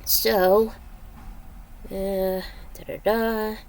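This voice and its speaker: A woman's voice: medium pitch, level tone, extremely slow, a little mellow. A woman casually reading a story aloud, adding offhand asides and skimming over bits. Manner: offhand, skims with filler syllables. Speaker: fan fiction reader.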